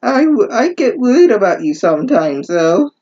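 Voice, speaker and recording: A man's voice: high voice, sing-song, moderate pace, a little mellow, husky, muffled recording, pitched above the performer's own voice, exaggerated voice. A man voicing a lovestruck anime character in a gushing, exaggerated, syrupy sweet way.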